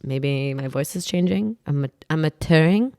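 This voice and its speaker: A woman's voice: sits low, lively intonation, a little mellow, no echo, clean studio sound. A woman co-hosting a podcast in casual chat, with a nasal voice and a playful, teasing manner. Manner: playful, teasing, quick to laugh. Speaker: podcast co-host.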